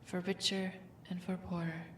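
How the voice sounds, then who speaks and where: A woman's voice: on the low side, little pitch movement, lightly acted. Woman as a solemn, echoing wedding officiant. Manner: formal, measured phrases. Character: solemn female royal wedding officiant, calm and formal. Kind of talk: audio roleplay drama acting. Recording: echoey, distant mic, faint noise.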